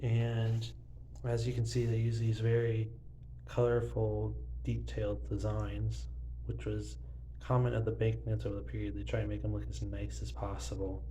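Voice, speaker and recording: A man's voice: lowish voice, completely flat delivery, moderate pace, dark tone, slight background noise, low-quality recording. A young man casually showing his banknote collection to a phone camera, rambling with lots of fillers. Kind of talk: casual show-and-tell narration. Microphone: phone filming banknotes.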